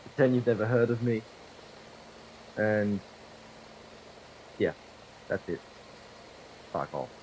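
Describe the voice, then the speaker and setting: A low-pitched young man whose voice is hoarse and fraying from a cold. He rambles and swears through casual game commentary, mocking himself as he goes, into a desk mic with a faint hiss underneath. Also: expressive, slow, long pauses, darkish tone, rough recording. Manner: profane, rambling, self-deprecating. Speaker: gaming video creator. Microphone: desktop microphone.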